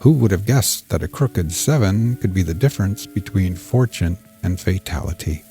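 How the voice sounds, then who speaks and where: Male fiction podcast narrator: dark, mellow tone, lowish register, measured scripted read. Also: expressive, soft background music.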